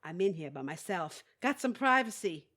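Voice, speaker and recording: Animated, kvetching older widow. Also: female voice, fairly low pitch, normal speed, very noisy. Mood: exasperated, wry. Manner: kvetching, folksy, Yiddish-peppered. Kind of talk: audio theatre comedy acting.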